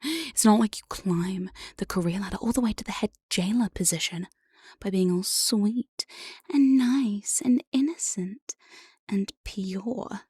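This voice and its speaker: A woman playing an evil, playful demon jailer in an ASMR roleplay, breathy and often whispering, with a sly, mock-sweet menace, close on the microphone. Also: medium pitch, measured pace, a little mellow, dry recording. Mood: mocking, exasperated. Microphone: close binaural studio microphone, dry.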